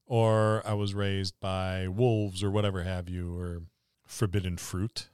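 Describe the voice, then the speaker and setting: Man chatting casually in a clear, smooth voice. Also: low voice, level tone, measured pace, darkish tone, no room sound, clean studio sound. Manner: rambling, jokey. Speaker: podcast co-host. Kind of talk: casual chat about tabletop roleplaying.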